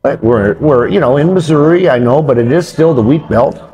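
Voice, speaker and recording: A man's voice: medium pitch, a little fast, dark tone, poor audio. An older man co-hosting a beer show in casual, unscripted chat, rambling and circling back over his phrases. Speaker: co-host of a beer review show. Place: brick-walled pub, indoors at a table.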